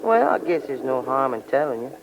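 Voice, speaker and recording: Tough radio thief over heavy noise. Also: male voice, mid-range voice, sing-song, brisk. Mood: cool, cocky. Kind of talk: 1940s radio acting. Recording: noisy old radio drama, engine-like background.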